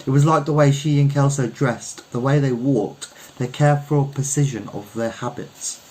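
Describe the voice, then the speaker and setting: A man's voice: mid-range voice, fairly even intonation, moderate pace, darkish tone, a little hiss or hum, rough recording. A man reading a literary short story aloud as its narrator. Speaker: story reader.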